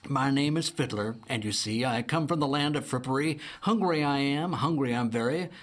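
A man's voice: mid-range voice, normal speed, close to an everyday voice. A man voicing a sly, smiling male bear who speaks in rhymes, in a storybook.

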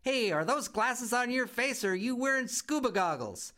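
A man voicing a rude, giggling young girl bug who mocks everyone loudly. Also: very high-pitched, expressive, normal speed, pitched above the performer's own voice, heavily acted. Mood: mocking. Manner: loud, mocking and giggly.

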